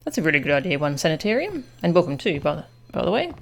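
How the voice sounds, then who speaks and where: Low-voiced woman streaming a game. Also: sing-song, fast talker, vocal fry. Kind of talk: casual livestream chat with viewers.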